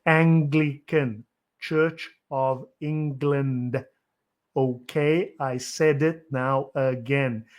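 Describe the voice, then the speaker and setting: A South African man hosting a live stream, who reads from articles and answers chat comments bluntly and impatiently. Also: a little high. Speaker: channel host.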